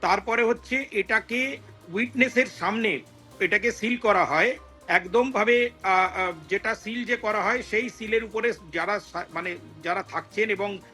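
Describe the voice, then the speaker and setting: A man's voice: high-pitched, measured pace, pushed and bright. An older man explaining as a news panel expert, hesitantly, repeating phrases and mixing in English terms. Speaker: retired investigator, expert panel guest. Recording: faint music under the voice.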